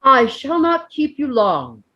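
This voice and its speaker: A woman voicing an elderly male hobbit making a jovial, deliberate birthday speech in a storybook reading. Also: high-pitched, big pitch swings, bright, forceful tone, dull audio, voice pushed up, exaggerated voice. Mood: ceremonious, measured. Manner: oratorical, deliberate.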